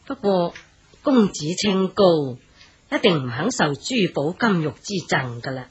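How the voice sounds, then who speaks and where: An actress playing a dignified, insistent old noblewoman in a period radio drama, speaking Hong Kong Cantonese in a formal, gently commanding way. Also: lowish voice, sing-song, a little slow, muffled recording, a little hiss or hum. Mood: gracious.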